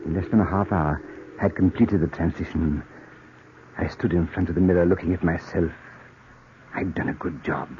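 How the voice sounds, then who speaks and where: An actor playing a cunning, deranged murderer, confessing in a theatrical, brooding, repetitive 1940s radio-drama style. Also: male voice, low-pitched, moderate pace, long pauses, close to an everyday voice. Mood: brooding, stealthy. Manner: theatrical, brooding, obsessively repeating himself. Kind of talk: 1940s radio acting, first-person narration. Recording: noisy muffled old radio recording.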